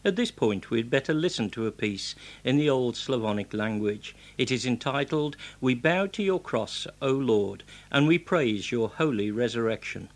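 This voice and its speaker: A man's voice: mid-range voice, expressive, moderate pace, hardly any pauses. A man interviewing a guest for radio, asking friendly, chatty questions. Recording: faint background noise.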